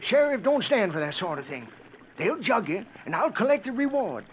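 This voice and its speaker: A very high-pitched gruff, scheming bounty hunter from an old radio western. He threatens and bargains in a folksy American Western drawl. The sound is dull, lo-fi 1940s radio. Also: sing-song, normal speed, slight background noise.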